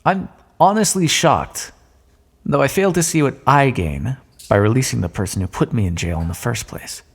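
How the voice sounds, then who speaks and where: A man playing a smug, sardonic thief in an audio roleplay, speaking with mocking mock-courtly politeness and drawn-out emphasis in a long echo. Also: medium pitch, animated, breathy edge. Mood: incredulous. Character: smug, sardonic male thief, gloating at a fallen monarch. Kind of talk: scripted audio roleplay, theatrical monologue. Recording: long echoing reverb.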